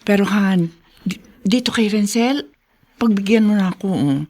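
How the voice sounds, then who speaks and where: A woman playing a kindly, trusting mayor's wife in a Tagalog radio drama, gentle but assertive. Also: medium pitch, normal speed, natural voice. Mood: coaxing.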